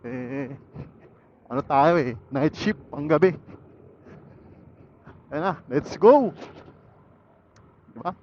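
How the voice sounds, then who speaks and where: A man's voice: a little high, expressive, slow, long pauses, a little mellow. A Filipino man casually vlogging to himself while riding, in a laid-back, musing way, speaking into a helmet intercom. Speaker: motorcycle vlogger. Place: outdoors, riding a motorcycle on a city road. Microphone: motorcycle helmet intercom mic. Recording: dull helmet intercom audio, faint road noise.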